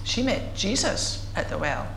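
Woman pastor, bit croaky, gentle prayer voice then chatty with the kids, big echoey church room. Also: mid-range voice, big pitch swings, slight background noise. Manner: measured and prayerful, then chatty and playful with children. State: croaky, recovering voice. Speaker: minister leading worship. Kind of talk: leading prayer and a children's talk. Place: church sanctuary.

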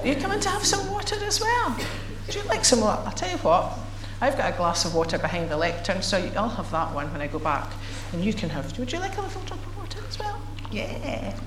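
A woman minister whose voice is still a little croaky, moving from slow, prayerful phrasing to friendly, playful chat with children. Her voice carries through an echoey church, with a faint hum of room noise behind her. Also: high voice. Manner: measured and prayerful, then chatty and playful with children. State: croaky, recovering voice. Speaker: minister leading worship. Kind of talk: leading prayer and a children's talk. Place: church sanctuary.

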